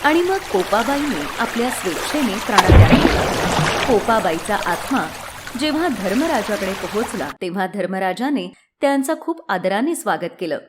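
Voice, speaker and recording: A woman's voice: mid-range voice, sing-song, moderate pace, very noisy. A woman narrating a scripted story as a storyteller.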